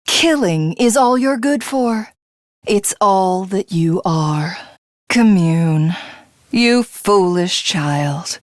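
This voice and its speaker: A woman's voice: mid-range voice, no echo, clean studio sound. A woman voicing a megalomaniacal, contemptuous female tyrant who craves godhood, in theatrical video game villain style. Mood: scornful.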